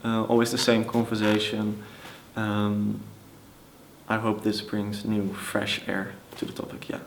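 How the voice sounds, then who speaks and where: A man's voice: fairly low pitch, completely flat delivery, a little slow, creaky, slight background noise, poor audio. A man answering in an interview about his work. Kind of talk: interview talk.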